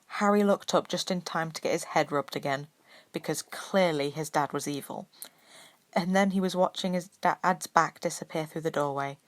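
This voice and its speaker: A woman narrates fiction with a low-pitched voice. Her delivery is expressive scripted storytelling in the manner of an amateur audiobook. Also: normal speed.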